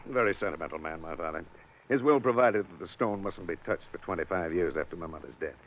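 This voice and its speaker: An actor playing a smug, scheming wealthy diamond owner in an old radio drama, with a sneering, commanding manner. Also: male voice, low voice, fast. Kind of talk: 1940s radio acting. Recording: dull old radio broadcast with faint hiss.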